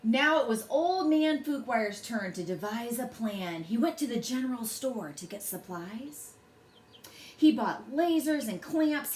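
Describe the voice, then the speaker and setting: A woman reads a bedtime story aloud to children with expressive, dramatic phrasing. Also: on the high side. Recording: roomy echo, faint background noise.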